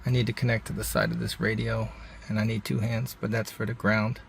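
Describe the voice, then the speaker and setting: A man's voice: on the low side, level tone, brisk, gravelly, a little hiss or hum. A man hosting a DIY repair video, talking through the hands-on work in a casual, folksy, informal way.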